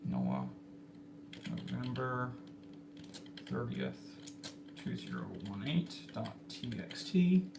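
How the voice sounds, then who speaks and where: An American man with a creaky, gravelly voice talks casually to a livestream. He speaks haltingly, thinking aloud as he works at his desk. The amateur recording carries clear background noise. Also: mid-range voice, huge pitch swings. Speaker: livestreamer spotting severe weather. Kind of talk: casual livestream commentary while logging warnings. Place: indoor room with computer and radio equipment. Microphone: phone set in a fixed spot. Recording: noisy amateur livestream with room hiss.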